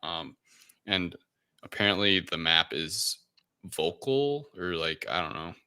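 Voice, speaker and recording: A man's voice: low voice, animated, slow pace, pushed and bright, clear, resonant tone. A man co-hosting a casual film review chat, talking in a hesitant, rambling way. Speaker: podcast co-host reviewing films.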